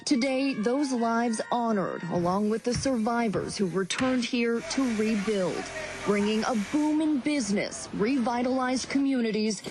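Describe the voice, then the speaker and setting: A woman's voice: on the high side, measured pace, rough recording. A woman news correspondent narrating a scripted television report.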